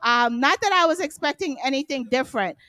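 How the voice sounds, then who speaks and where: A chatty woman from Guyana hosts a live stream interview, tossing in plenty of "like" fillers. Her voice is bright and fairly high, recorded dry and close. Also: a little fast, dry recording. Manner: chatty, giggly, many "like" fillers. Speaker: host, interviewer.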